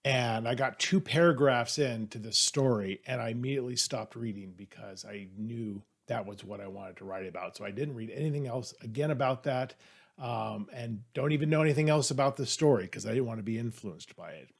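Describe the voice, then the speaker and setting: A man with a middling pitch talks to viewers as an author. His delivery is casual and off the cuff as he presents a trivia question. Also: brisk, vocal fry. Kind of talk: casual, off-the-cuff talk to camera.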